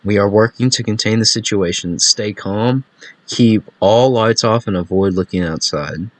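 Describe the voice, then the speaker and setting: A man voicing a calm, official emergency broadcast announcer in a video game, giving a measured public warning. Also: fairly low pitch, dry recording, natural voice, poor audio. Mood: calm, grave.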